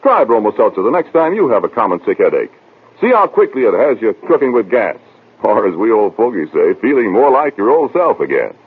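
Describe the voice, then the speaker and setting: A man's voice: on the low side, very animated, a little fast, husky. A man working as a radio announcer, reading a sponsor spot in a folksy, polished 1940s commercial style. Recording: thin, narrow old radio broadcast.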